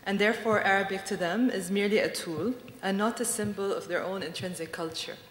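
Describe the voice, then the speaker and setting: A woman's voice: medium pitch, brisk, slight background noise. A woman from Beirut, co-founder of a design studio, presenting at a conference in a thoughtful, hesitant way with many fillers. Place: large conference hall. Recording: echoey hall with faint ambience.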